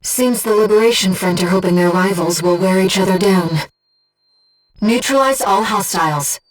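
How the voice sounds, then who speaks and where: A woman acts out a gentle, bodiless female guide in a video game. She speaks in a mid-range voice with earnest, measured precision, like mission support for a pilot. The sound sits a little distant from the microphone. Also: medium pitch, faint music behind, natural voice. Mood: calm briefing.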